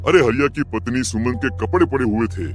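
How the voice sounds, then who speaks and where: A man playing a concerned, gossiping villager with an urgent, dramatic manner in an animated horror story. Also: mid-range voice, fairly quick, faint music behind, natural voice. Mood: alarmed.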